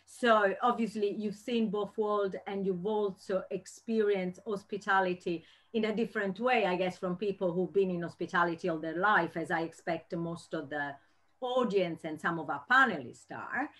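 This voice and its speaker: A woman's voice: medium pitch, normal speed, a bit bright. A woman moderating an online panel discussion over a video call, asking long, rambling questions with plenty of fillers.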